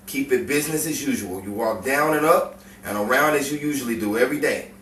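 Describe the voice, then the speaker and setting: Man acting a bright-voiced cocky 1950s gangster. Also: medium pitch, a little fast, close to an everyday voice. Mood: smug, threatening. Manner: slangy tough-guy talk. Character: cocky menacing 1950s gangster boyfriend, quick to rage. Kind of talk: 1950s crime melodrama acting. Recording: roomy, distant mic, faint noise.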